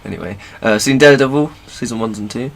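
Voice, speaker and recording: A man's voice: medium pitch, quick pace. A man chatting casually as a podcast co-host. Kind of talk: casual chat. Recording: clear background noise, clicks.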